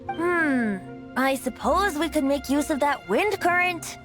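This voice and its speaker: A woman's voice: sits high, lively intonation, a little slow, over music. A woman voicing a breezy, whimsical young male bard in a video game, with a lilting, wistful storyteller delivery. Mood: breezy, musing.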